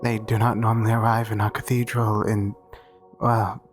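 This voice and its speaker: A man's voice: lowish voice, level tone, normal speed, faint music behind, natural voice. A man playing a meek, devout and easily flustered cathedral priest in a roleplay audio drama, speaking formally and hesitantly. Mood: flustered, reverent.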